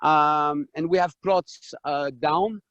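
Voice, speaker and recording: A man with a French accent speaks from outdoors in a vineyard, halting and full of fillers as he guides a tour. His voice sits a little high, and it comes through a dull, lo-fi video call. The sound is dry and close. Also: fairly even intonation, fairly bright tone, no room sound. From France. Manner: halting, many fillers and restarts. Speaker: winemaker. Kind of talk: live video tour and tasting talk. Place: outdoors in a vineyard on a hot day.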